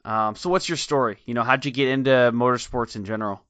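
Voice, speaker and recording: A man's voice: mid-range voice, fast talker, pushed and bright, no room sound. A man with a Midwestern American accent hosting a podcast, chatty and enthusiastic with a joking streak. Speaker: podcast host. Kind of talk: casual interview chat. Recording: dry, slightly dull recording.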